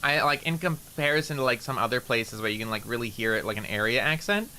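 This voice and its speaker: A man's voice: mid-range voice, lively intonation, fast talker, sharp tone, slight background noise. A young man from Canada with a slight rural Canadian accent and a nasal voice, chatting casually and rambling while streaming a game, swearing often and mocking himself. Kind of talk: casual gaming stream chat.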